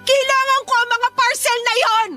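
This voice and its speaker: Shrill, indignant Filipina neighbour. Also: female voice, little pitch movement, normal speed, sharp tone, faint music behind. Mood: hurt, indignant. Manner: indignant, confrontational, demanding. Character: exasperated woman neighbour confronting a rude neighbour. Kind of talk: Filipino radio drama acting.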